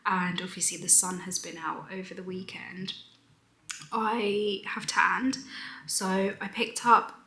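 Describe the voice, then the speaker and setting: A young woman with a British accent, chatting casually to camera in a weekend vlog, a little hoarse and drifting into half-whispers. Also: medium pitch, flat delivery, slow pace, pushed and bright, echoey room. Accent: British English. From United Kingdom. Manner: breezy and rambling, sometimes half-whispered. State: slightly hoarse. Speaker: vlogger.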